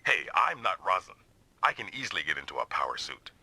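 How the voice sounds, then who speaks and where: A man's voice: low voice, very animated, quick pace, creaky, background noise, exaggerated voice. An actor voicing a big, burly, good-natured but touchy male mech pilot in an anime-style video game, theatrical and blustering. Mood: boastful.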